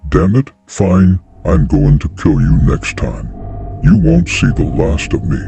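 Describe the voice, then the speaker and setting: A man's voice: deep voice, big pitch swings, unhurried, creaky, dark tone, faint music behind, exaggerated voice. A man voicing a vengeful, gloating villain bent on ruling the world in an amateur audio drama. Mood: bitter, threatening. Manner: menacing, gloating. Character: villainous doctor, menacing, vengeful creature bent on world domination. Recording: noisy home microphone recording.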